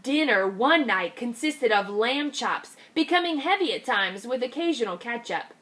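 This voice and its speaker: Teacher, female, bright higher voice, very animated storybook read-aloud for little kids. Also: moderate pace. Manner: lively, expressive reading to young children. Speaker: kindergarten teacher.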